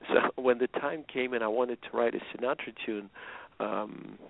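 A man born in Russia, a musician guest telling stories over the phone with many pauses and fillers. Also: mid-range voice, creaky, no echo. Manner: relaxed storytelling with frequent fillers. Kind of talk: phone-in interview. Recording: tinny phone line.

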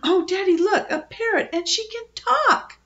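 A woman's voice: very high-pitched, very animated, normal speed, dull audio, voice pushed up. A woman voicing a fearful ten-year-old girl in a children's storybook, whiny and meek. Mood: meek, timid.

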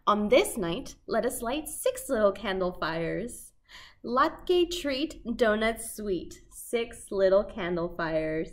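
A young woman hosting a children's storytime, reading a picture book aloud in simple, deliberate phrases for toddlers. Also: mid-range voice, very animated, a bit bright. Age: young adult. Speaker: children's library storytime host. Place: small indoor set with fabric backdrop.

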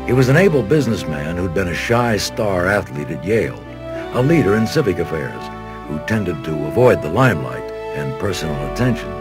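Documentary narrator: American man, low voice, lively and varied intonation, music bed. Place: studio voice-over. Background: background music.

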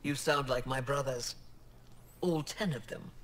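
An actor playing an earnest, dignified alien official in a video game, speaking in a measured, formal way. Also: male voice, mid-range voice, fairly bright tone, close to an everyday voice.

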